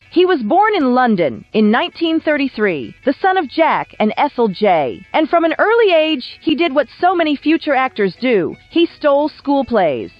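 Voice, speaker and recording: A woman narrates a scripted documentary in a fairly high voice with lively, swooping intonation. She tells it like a wry storyteller. The audio is a little dull and band-limited. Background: background music.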